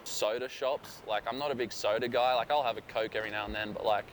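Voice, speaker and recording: A man's voice: medium pitch, fairly even intonation, quick pace. Young guy, casual filler-heavy chat, noisy outdoor backdrop. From Australia. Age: young adult. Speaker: college athlete interviewee.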